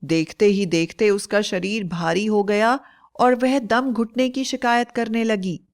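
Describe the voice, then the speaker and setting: Slow-paced woman storyteller. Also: mid-range voice. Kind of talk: scripted narration of a children's moral story.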